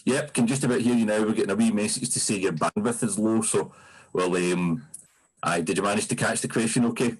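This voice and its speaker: Man, Scottish accent, deep husky voice, informal hesitant moderator, video call. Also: fairly quick, low-quality recording. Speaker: host of an online fan Q&A. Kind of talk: conversational moderating.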